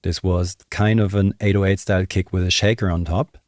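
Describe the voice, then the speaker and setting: A man's voice: sits low, flat delivery, brisk. A young man giving a casual tutorial, thinking aloud in a conversational, explanatory way into a close microphone. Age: young adult. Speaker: music production tutor. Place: small indoor home studio. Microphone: close desk microphone, headphones on. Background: music and drum samples.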